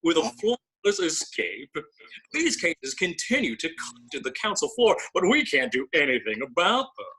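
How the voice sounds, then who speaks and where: A man voices a haughty bird elder from a council in a comic radio play. The voice is fairly high and raspy, with lively swings of pitch and a pompous, official manner. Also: moderate pace, full character voice, rough recording. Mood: pompous, officious. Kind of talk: comedic audio drama table read, mock interview.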